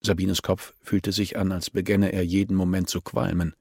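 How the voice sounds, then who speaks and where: Low-voiced male audiobook narrator, dry sound. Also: little pitch movement, moderate pace, creaky, darkish tone, slightly rough, dry recording. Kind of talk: scripted crime novel narration.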